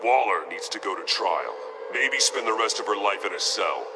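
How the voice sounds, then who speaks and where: An actor voicing a stern, righteous masked vigilante in a video game, grave, commanding and restrained. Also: male voice, lowish voice, very animated, normal speed, noisy background. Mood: firm. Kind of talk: dramatic video game voice acting. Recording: loud music underneath.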